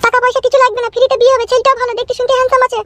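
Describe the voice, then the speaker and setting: A woman voicing a crafty, bossy middle-aged mother-in-law in a cartoon, with a pitched-up effect. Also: little pitch movement, racing, a bit bright, faint music behind. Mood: bossy, scheming. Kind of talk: comic cartoon dialogue.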